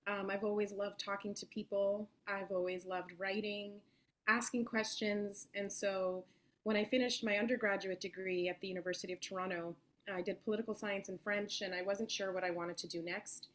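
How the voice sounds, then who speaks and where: A woman's voice: medium pitch, steady tone, moderate pace. Earnest woman journalist answering an interview. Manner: articulate and earnest, with soft fillers. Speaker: guest journalist.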